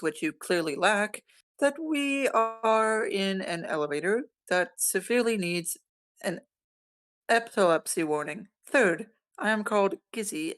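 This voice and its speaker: A woman's voice: medium pitch, fairly bright tone. A woman playing a smug male cyborg scientist, wordy and condescending, in a screenplay table read. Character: Gizzy, towering thin male cyborg scientist, smugly analytical. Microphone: remote online meeting.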